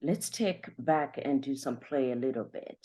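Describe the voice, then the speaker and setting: A woman hosting a podcast interview, reacting to her guest with animated exclamations. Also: very deep voice, normal speed. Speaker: podcast host.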